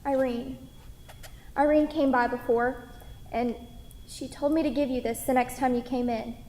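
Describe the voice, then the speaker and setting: Woman acting a high-voiced, kind, hesitant waitress. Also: fairly even intonation, moderate pace, warm tone, slight background noise. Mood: hesitant, tender. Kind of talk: staged play reading. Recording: echoey hall with long reverb.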